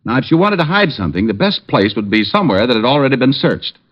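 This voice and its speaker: An actor playing a quick-witted, wisecracking private detective in an old radio drama. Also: male voice, mid-range voice, very animated. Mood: reasoning. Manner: sardonic, wisecracking, smooth reasoning. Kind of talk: 1940s-50s radio detective drama acting. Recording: muffled old-time radio recording.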